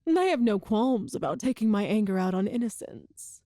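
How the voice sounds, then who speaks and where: A woman playing a cruel, seductive evil empress in an ASMR roleplay drama, speaking with imperious, deliberate menace. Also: mid-range voice, animated, mellow, no echo, clean studio sound, close to an everyday voice. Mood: amused menace.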